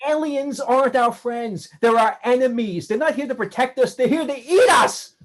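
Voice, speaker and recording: A woman's voice: sits high, moderate pace. A woman playing a defiant adult son who rants sarcastically. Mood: pleading. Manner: ranting, sarcastic, confrontational.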